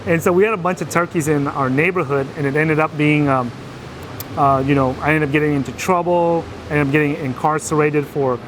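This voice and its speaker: Interview guest, man from Vietnam, slightly high voice, hesitant, noisy background. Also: fairly even intonation, fairly quick. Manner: hesitant, many fillers and restarts. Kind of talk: casual interview storytelling.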